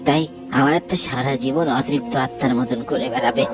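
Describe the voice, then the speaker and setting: Man acting as wronged young man, aggrieved, loud music. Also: mid-range voice, steady tone, a little fast, lightly acted. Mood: bitter, vengeful. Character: wronged young man, murdered, now a vengeful restless pond ghost. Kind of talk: Bengali horror cartoon dialogue.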